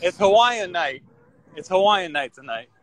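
A man's voice: sits high, lively intonation, a little slow, crowd noise. A man in his forties from the United States, chatting casually on a video call and often repeating words as he goes. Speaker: contractor, panel guest. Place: indoor room, reclining. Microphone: phone at arm's length.

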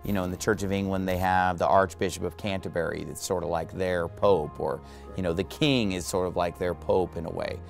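A very deep-voiced man with a gravelly, creaky edge preaches casually, tossing in asides as he goes. Faint music plays under him. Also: fairly even intonation, brisk. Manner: loose and conversational, with hedges and asides. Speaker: pastor. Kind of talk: sermon.

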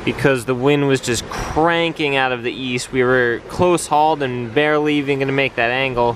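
A man in his thirties casually explaining sailing plans while on a sailboat outdoors. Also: mid-range voice. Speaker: sailing vlogger. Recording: wind and water noise. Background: boat and water noise.

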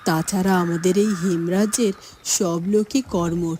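A woman voicing expressive female storybook figures and narration for a fairy-tale cartoon. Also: lowish voice, moderate pace, natural voice. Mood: cheerful, explaining. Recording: clear background noise and ambience.

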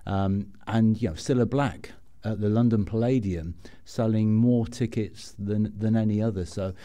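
A man with a low, mellow voice hosts an interview podcast. He talks in a conversational, relaxed way, with a soft, dark tone. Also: moderate pace. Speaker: podcast host.

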